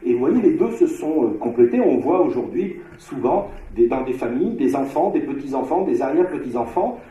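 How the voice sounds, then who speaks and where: Man lecturing, dark voice in an echoey hall. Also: mid-range voice, animated, highs cut off. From Marseille, France. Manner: discursive, frequent filler pauses and restarts. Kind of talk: academic conference lecture.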